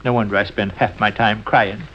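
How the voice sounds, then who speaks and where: A man's voice: lowish voice, little pitch movement, fairly quick, fairly bright tone. An American actor playing a playful, mock-pompous middle-aged husband and father in 1940s radio comedy. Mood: mock indignant. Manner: florid, mock-pompous, teasing. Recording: dull old radio broadcast recording.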